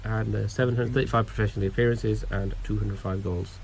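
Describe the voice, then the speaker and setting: A man's voice: lowish voice, fairly even intonation, fairly quick, creaky, a little mellow, husky. A young man co-hosting a casual football podcast, patiently reading out emails and quiz clues with mild exasperation. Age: young adult. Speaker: podcast co-host. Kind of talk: casual chat.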